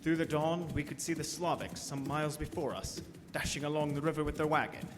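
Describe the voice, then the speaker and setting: An actor playing a resolute young man on a vampire hunt, giving measured diary entries in a live radio horror drama. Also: fairly high pitch, steady tone, over music, natural voice. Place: small theater stage. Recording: noisy live stage broadcast, long reverb, hoofbeats.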